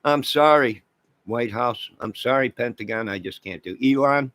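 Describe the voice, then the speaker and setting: A man's voice: mid-range voice, moderate pace, fairly bright tone, no room sound. An American man giving a rambling, off-the-cuff rant as an online channel host, speaking in an exasperated, repetitive and emphatic manner.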